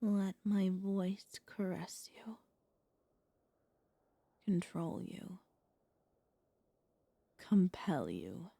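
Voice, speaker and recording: Possessive vampire mistress for ASMR roleplay, played by a woman: breathy half-whispers, mellow and unhurried, soothing but commanding. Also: fairly low pitch, very animated, dry recording, heavily acted. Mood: possessive, coaxing. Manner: slow, coaxing, commanding hypnotic delivery. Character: possessive, soothing female vampire mistress hypnotizing her pet.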